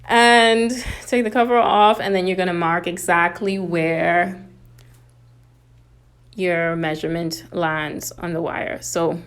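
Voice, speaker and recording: Woman, Bahamian accent, lowish pitch, casual demonstration style, chatty step-by-step instruction. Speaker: craft tutorial host.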